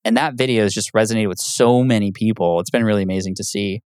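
Man, podcast guest, low-ish voice, dry close sound, earnest, patient, casual chat. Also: fast talker, a little mellow, smooth, no echo. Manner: earnest, explains patiently with many fillers. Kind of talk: casual interview talk.

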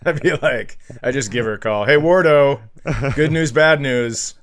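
A man with a medium-pitched voice bantering on a comedy podcast. He is casual and playful as co-host, joking crudely and acting out little asides. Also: moderate pace, a bit bright, a little hiss or hum.

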